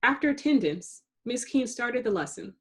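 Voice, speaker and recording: A woman reading a children's story aloud in an expressive narrating style, with dramatic emphasis for suspense. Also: a little high, moderate pace. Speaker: storybook reader.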